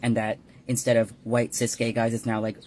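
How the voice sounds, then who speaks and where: A man's voice: medium pitch, completely flat delivery, normal speed, darkish tone, slight background noise. Scornful man ranting with lots of fillers. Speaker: social media commentator. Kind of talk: casual video rant.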